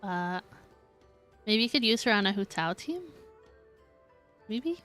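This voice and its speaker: A woman's voice: medium pitch, animated, slow, fairly bright tone, faint music behind. A woman streamer chatting casually with viewers while she plays a video game. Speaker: live streamer playing a game. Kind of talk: casual gaming stream chat.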